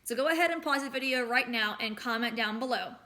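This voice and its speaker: Bright-voiced woman teacher reading aloud. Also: fairly high pitch, quick pace. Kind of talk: expressive storybook read-aloud with lesson questions.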